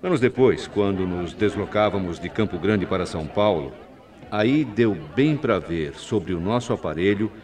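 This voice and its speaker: A middle-aged Brazilian man playing a composed, authoritative veteran airline captain in a radio drama, speaking in a measured, formal way. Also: low-pitched, expressive, darkish tone. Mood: earnest recounting. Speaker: radio actor. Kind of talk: 1990s radio drama acting.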